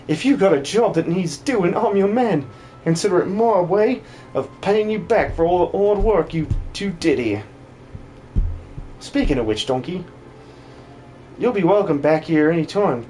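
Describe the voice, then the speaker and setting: A man's voice: high-pitched, lively intonation, normal speed, mellow, slight background noise. A man voicing a hearty, plain-spoken farmer with a folksy, welcoming manner in a game dub. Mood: hearty, grateful.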